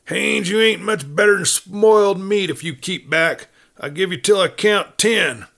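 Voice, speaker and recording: A man's voice: high voice, unhurried, creaky, fairly bright tone, pitched above the performer's own voice, full character voice. A man voicing a small, careful, hard and sly sheriff with folksy western drawl in a storybook western. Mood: menacing.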